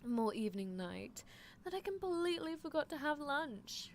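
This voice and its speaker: A woman's voice: high voice, very animated, moderate pace, a little hiss or hum. A woman voicing a playfully menacing, teasing female mad scientist in a roleplay ASMR stream, musing theatrically. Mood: teasing, chatty. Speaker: livestreamer. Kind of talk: roleplay ASMR livestream, answering chat in character.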